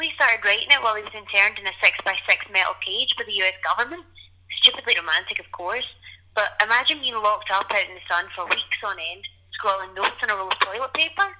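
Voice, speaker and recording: An actress playing an enthusiastic, irreverent young poet in an audio play, heard through a telephone filter. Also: female voice, medium pitch, pushed and bright, rough recording. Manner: keen, informal, sweary.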